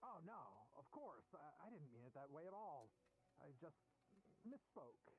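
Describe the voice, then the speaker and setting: A man's voice: a little high, very animated, unhurried, soft background music, low-quality recording. A man playing a polite, nervous young townsperson in a video game, hesitant and apologetic in his delivery. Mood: flustered.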